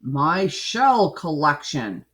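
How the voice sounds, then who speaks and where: A woman's voice: low-pitched, big pitch swings, drawn-out words, a bit bright, voice pushed down, exaggerated voice. A woman voicing a blustery old man from a storybook, with a grumbly, growling manner. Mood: grumbling.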